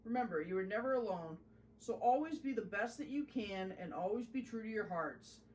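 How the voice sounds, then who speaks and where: A woman's voice: medium pitch, expressive, normal speed, darkish tone, recorded from a distance, a little hiss or hum, close to an everyday voice, poor audio. A woman voicing a tender, motherly spirit of children in a storybook, speaking with solemn reassurance. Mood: loving, earnest.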